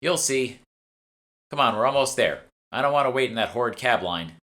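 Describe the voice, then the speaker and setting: A man's voice: mid-range voice, lively intonation, moderate pace, a bit bright, pitched above the performer's own voice, natural voice. A man voicing a bossy, curt, sardonic female guild fixer in an audiobook. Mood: impatient, knowing. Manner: curt, impatient, know-it-all.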